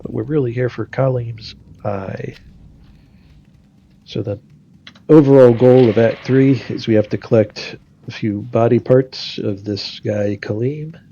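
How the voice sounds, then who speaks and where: A man's voice: medium pitch, fairly even intonation, a little slow, gravelly, mellow, slightly rough, highs cut off. Stuffy-nosed man commenting on gameplay. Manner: explains decisions thinking aloud, many fillers. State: nasal and stuffy from a cold. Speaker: game streamer.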